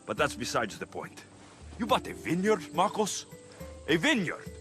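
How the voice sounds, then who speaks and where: An actor playing a cocky young mercenary, talking bluntly and sardonically in a video game cutscene. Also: male voice, on the high side, huge pitch swings, normal speed, over music. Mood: exasperated.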